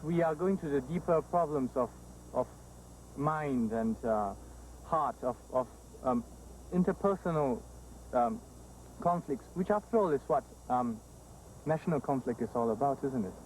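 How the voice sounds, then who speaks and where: A male student speaking in a halting, reflective way. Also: medium pitch, animated, a little mellow, slight background noise, poor audio.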